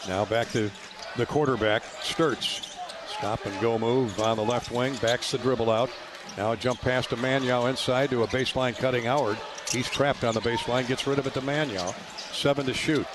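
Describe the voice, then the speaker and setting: Man calling basketball over loud arena noise. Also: medium pitch, fairly even intonation, moderate pace. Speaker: radio play-by-play announcer. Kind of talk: live basketball play-by-play commentary. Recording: loud arena noise behind courtside radio call. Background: ball bounces and gym ambience.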